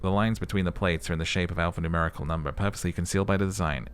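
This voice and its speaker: A man's voice: sits low, steady tone, racing, slight background noise. A man hosting a let's-play, reading game text as a narrator and adding casual commentary.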